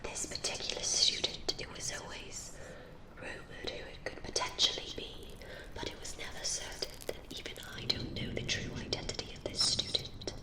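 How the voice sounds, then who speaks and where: A woman playing a mysterious storyteller who guards a secret passage, whispering slowly and deliberately in an ASMR roleplay. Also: roomy sound, natural voice. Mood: mysterious, hushed. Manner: slow, deliberate, hushed storytelling.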